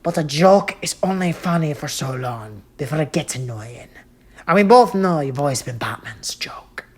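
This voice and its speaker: A woman's voice: sits low, expressive, moderate pace, darkish tone, a little hiss or hum. A woman voicing a gleefully cruel male clown villain in a fan audio drama, taunting theatrically with wheezy laughter. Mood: menacing. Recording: music laid under the voice.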